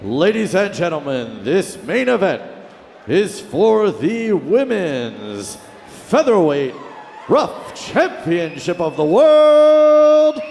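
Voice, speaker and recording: High-pitched theatrical male ring announcer, echoing arena. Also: very animated, slow, gravelly, a little mellow, slight background noise. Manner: theatrical, stretches out words. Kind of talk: ceremonial fight introductions, drawn-out shouted names. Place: indoor arena. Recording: echoing arena PA with music, crowd. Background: music and crowd.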